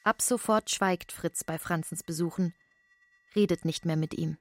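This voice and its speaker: Actress as a low-pitched, matter-of-fact woman narrator. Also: normal speed, no room sound, soft background music, close to an everyday voice. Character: matter-of-fact female narrator with dry remarks. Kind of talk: radio drama narration.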